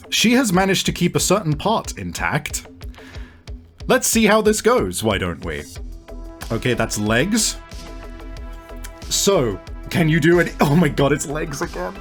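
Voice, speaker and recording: A man working as a game master, improvising tabletop game narration in a halting, piece-by-piece way. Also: mid-range voice. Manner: halting, pieces scenes together as they go. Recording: music playing behind the voice. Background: music.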